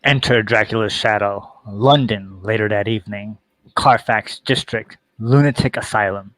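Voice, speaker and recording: A man's voice: lowish voice, normal speed, a bit bright, no echo, poor audio. A man reading scripted stage directions as the narrator of a play.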